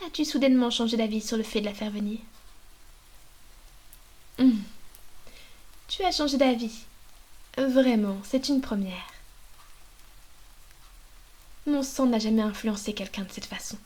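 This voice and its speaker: A woman voicing a manipulative female vampire mistress in an ASMR roleplay, talking in a slow, coaxing, breathy whisper into a binaural microphone. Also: on the high side, darkish tone, close to an everyday voice. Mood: possessive. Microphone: binaural dummy-head mic.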